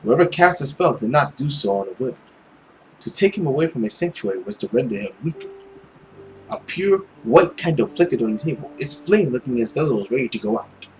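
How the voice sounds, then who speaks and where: Expressive, theatrical visual novel narrator. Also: mid-range voice, fairly quick, a little hiss or hum, rough recording. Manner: theatrical reading with casual asides. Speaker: gaming video host.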